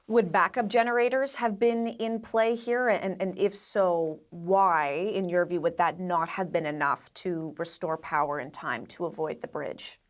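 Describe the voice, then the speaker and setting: A woman's voice: on the low side, steady tone, measured pace, few pauses. A woman working as a news anchor, putting questions to a guest in a broadcast interview.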